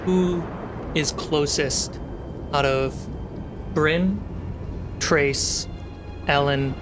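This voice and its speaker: A man's voice: on the high side, extremely slow. A man hosting and narrating a tabletop game dramatically as dungeon master. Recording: loud cinematic music behind voice. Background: loud music score.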